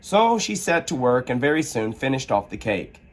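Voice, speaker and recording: A man reading a children's storybook aloud as an expressive storyteller. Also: mid-range voice, normal speed.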